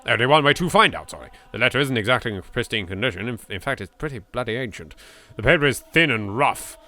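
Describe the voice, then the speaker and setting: Bright-voiced British man, theatrical horror game reader. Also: mid-range voice, fast. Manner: theatrical, expressive, swearing. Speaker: video game let's player. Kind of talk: dramatic read-aloud of horror game text with asides.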